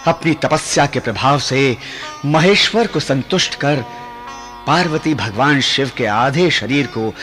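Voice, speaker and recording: An actor playing a wise, assured divine sage in a Hindi mythological radio play, with solemn, formal delivery. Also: male voice, medium pitch, lively intonation, a bit bright, faint music behind. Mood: prophetic, solemn. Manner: solemn, formal, unhurried pronouncements.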